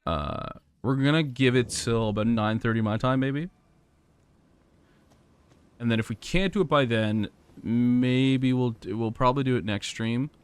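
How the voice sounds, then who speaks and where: A hoarse young man commenting as he plays a hard game live, thinking aloud, swearing and grumbling at his mistakes. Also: medium pitch, a little slow, long pauses. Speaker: gaming streamer.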